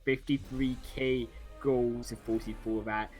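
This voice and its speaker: Male color caster, analytical and halting, medium pitch, game music clearly audible behind. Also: level tone, darkish tone. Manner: analytical, halting with many fillers. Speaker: esports caster. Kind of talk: color commentary.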